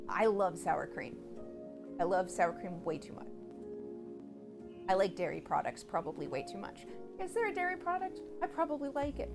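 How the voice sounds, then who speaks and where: Chatty, bubbly woman cooking on stream. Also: mid-range voice. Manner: chatty, playful, bubbly and rambling. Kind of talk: casual chat while cooking. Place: home kitchen.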